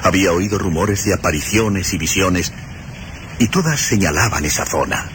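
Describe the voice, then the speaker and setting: Male narrator for horror radio drama: very low, expressive, solemn, set against loud rain and storm noise. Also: moderate pace. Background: rain and storm ambience.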